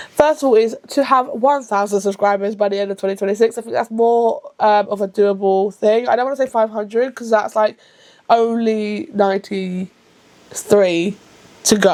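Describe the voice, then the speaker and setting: A woman's voice: medium pitch, fairly even intonation, a little mellow. Chatty woman around thirty. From England. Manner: chatty and rambling, with frequent self-corrections; rushed at times. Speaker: book vlogger. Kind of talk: casual to-camera vlog.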